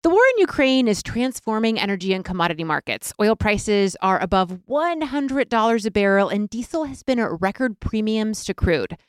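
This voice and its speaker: A woman's voice: medium pitch, lively intonation, moderate pace, hardly any pauses, clean tone, no room sound, studio quality. A woman hosting a podcast, polished and friendly as she introduces guests and reads scripted questions. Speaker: podcast host.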